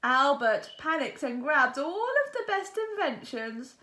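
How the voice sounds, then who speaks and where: A woman storyteller reads a children's picture book with a high, lively voice. She is expressive and dramatic, taking her time over the words. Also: measured pace. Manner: expressive, dramatic delivery with acted sound words. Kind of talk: animated read-aloud of a picture book.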